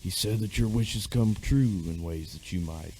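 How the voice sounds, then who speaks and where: A man's voice: fairly low pitch, normal speed, a little mellow, slight background noise, natural voice. A man playing a reluctant middle-aged sergeant major in an amateur radio play, speaking hesitantly in old-fashioned phrases. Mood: guarded, ominous.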